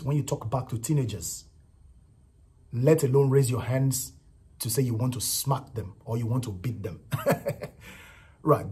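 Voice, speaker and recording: Nigerian-accented male vlogger, very raspy voice, repetitive emphatic opinion delivery. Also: mid-range voice, warm tone. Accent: Nigerian English. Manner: repetitive, emphatic, frequent "you know" and "right". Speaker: vlogger commenting on a viral clip. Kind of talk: casual opinion commentary.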